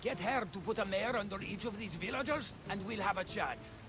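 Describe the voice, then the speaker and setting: An actor playing the blunt, sardonic, exasperated leader of a threatened village in ancient Greece, in a video game cutscene. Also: male voice, high voice, brisk, music in the background, natural voice. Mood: sardonic, pragmatic.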